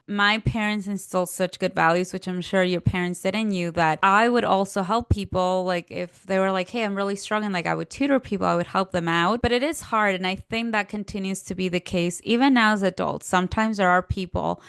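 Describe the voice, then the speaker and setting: A woman's voice: medium pitch, brisk, vocal fry, hardly any pauses, a bit bright, clear, resonant tone, no room sound. A woman from Peru with a Peruvian Spanish accent, telling personal stories in a podcast conversation and acting out little quotes as she goes. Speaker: podcast guest, career coach.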